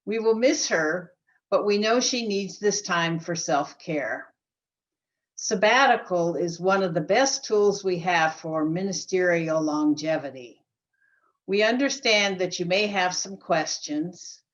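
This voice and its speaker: A woman's voice: fairly low pitch, slow. Woman reading a prepared announcement, dull audio. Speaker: church committee member.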